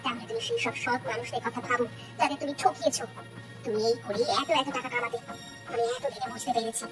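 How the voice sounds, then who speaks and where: A woman's voice: on the high side, fairly even intonation, quick pace, far from the mic, lightly acted. A woman voicing a righteous, resolute wife in a cartoon drama, firm and accusing. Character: righteous, resolute wife confronting a crooked man. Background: music.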